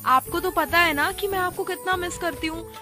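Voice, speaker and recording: A sisterly young woman acted out by a woman in a cartoon story, with an extremely high voice. She is earnest, emotional and sweetly dramatic, and music plays clearly behind her. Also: moderate pace. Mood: affectionate longing. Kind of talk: Hindi animated story dialogue.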